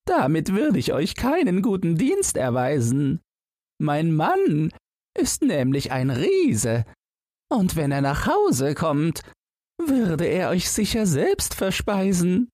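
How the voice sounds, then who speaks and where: A man's voice: fairly high pitch, huge pitch swings, slow, darkish tone, dry recording, clean studio sound, pitched above the performer's own voice, full character voice. A man voicing a giant's kindly but firm wife in a fairy tale, protective and admonishing. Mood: warning. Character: giant's wife, kindly firm woman scolding her husband.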